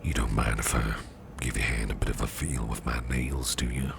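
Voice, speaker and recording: Man acting a very deep, gravelly, whispering protective boss. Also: steady tone, noisy background, exaggerated voice. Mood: soothing, tender. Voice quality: breathy near-whisper with gravelly fry. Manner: tender, slow, reassuring murmur. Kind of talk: ASMR roleplay, soothing personal attention.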